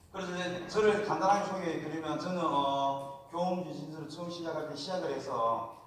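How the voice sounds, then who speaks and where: A man's voice: a little high, a little hiss or hum. A man with a regional Korean accent giving a seminar talk in a chatty, folksy way, often asking the audience to answer back. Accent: Korean regional dialect. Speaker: seminar speaker. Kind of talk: motivational seminar lecture. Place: large lecture hall. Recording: echoey hall, mic heard from a distance.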